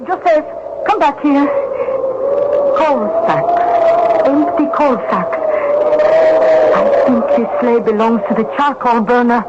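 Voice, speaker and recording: A woman's voice: high voice, expressive, slow, pauses often. An actress playing a shrewd young Russian village woman in a radio drama, coy, insistent and probing. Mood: watchful. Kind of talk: old-time radio drama acting. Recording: muffled, noisy old radio recording.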